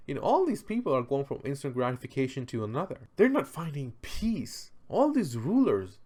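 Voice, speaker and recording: A man presenting an unscripted, conversational explainer, speaking emphatically with rhetorical questions and repeated phrases for stress. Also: mid-range voice. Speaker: presenter explaining history and philosophy.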